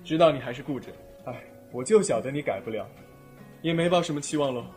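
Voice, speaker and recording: A man's voice: mid-range voice, quick pace, a little mellow, lightly acted. A man acting a caring but exasperated teenage schoolboy and older brother in an audio drama. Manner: dry, long-suffering. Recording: music playing behind.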